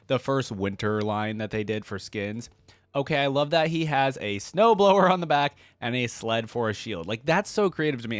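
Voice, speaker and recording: A man hosting a reaction video, commenting casually in an expressive, animated way with frequent exclamations. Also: mid-range voice, dry recording.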